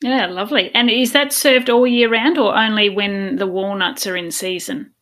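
A woman hosts an interview podcast in a medium-pitched voice. She is polite and encouraging, and keeps her questions conversational. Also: moderate pace, fairly bright tone. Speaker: podcast host.

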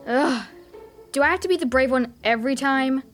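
A woman's voice: sits high, normal speed, soft background music, close to an everyday voice. An actress playing a brave, easily exasperated young girl leader in a children's audio drama. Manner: earnest, bossy.

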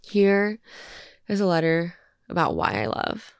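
A woman in her twenties, a podcast host, reading a personal letter aloud while crying and congested, halting and full of fillers. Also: on the low side, sing-song. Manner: tearful, halting, many fillers.

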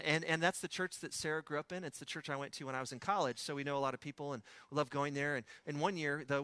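A pastor with a West Texas accent preaching a sermon in a conversational storytelling way, with personal asides. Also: male voice, mid-range voice, bright, forceful tone, dry recording.

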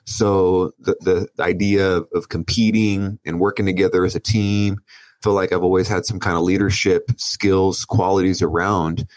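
A man from Southern California, a guest on a podcast, telling his story in a relaxed way with small restarts. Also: deep voice, normal speed, dry recording, rough recording. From Oceanside, California, USA. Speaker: guest, business owner. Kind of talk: casual interview.

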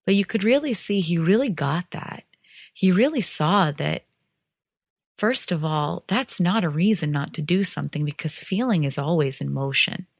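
A woman from the United States, giving a calm, earnest and encouraging reflective monologue as a podcast host. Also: fairly low pitch, normal speed, no echo, highs cut off.

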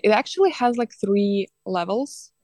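A woman's voice: mid-range voice, expressive, moderate pace, a little mellow, dry recording. Woman, dry sound. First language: Russian. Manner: earnest, slightly non-native phrasing with fillers. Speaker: guest, coach and teacher. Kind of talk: casual podcast interview.

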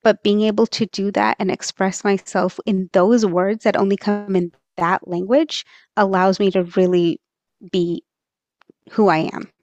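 A woman's voice: mid-range voice, steady tone, a little slow, a little mellow, no echo, muffled recording. A woman from Texas, a podcast guest, giving earnest and thoughtful advice in a conversational way, with frequent fillers. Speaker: interview guest.

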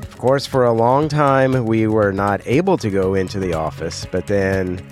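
Hoarse, congested low-voiced man. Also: normal speed, faint music behind. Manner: rambling, conversational, self-correcting. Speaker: podcast host. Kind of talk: casual solo podcast talk.

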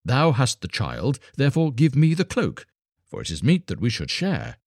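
A blunt, selfish old-tale woodcutter, his husky voice lifted and grudging as he argues for his share. A man puts him on in a storybook reading. The sound is close and dry. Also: mid-range voice, wildly swooping pitch, moderate pace, a little mellow, no room sound, voice pushed up. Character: grumbling poor woodcutter, selfish and practical.

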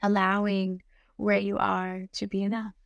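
A woman guiding a meditation in a soothing, gentle manner, giving unhurried instructions with long pauses between short phrases. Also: medium pitch, little pitch movement, vocal fry, no echo, highs cut off. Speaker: meditation guide. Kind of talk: guided meditation narration.

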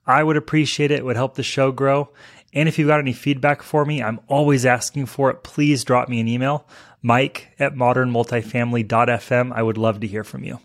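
A man hosting an interview podcast, talking to listeners and putting questions to a guest. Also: mid-range voice. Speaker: podcast host.

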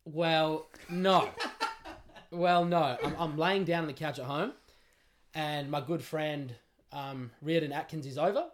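A man's voice: on the high side, measured pace. A young Australian man on a podcast, telling a jokey story in casual chat into a studio mic. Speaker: podcast guest, footballer.

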